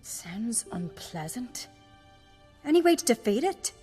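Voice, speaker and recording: Bold young noblewoman, brisk confident wry tone, game music clearly under her. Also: on the high side, big pitch swings, warm tone.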